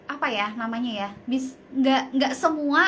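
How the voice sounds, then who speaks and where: Bright, very high-voiced chatty woman. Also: unhurried, a little hiss or hum. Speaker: live-stream co-host. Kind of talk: casual live chat. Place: live video stream.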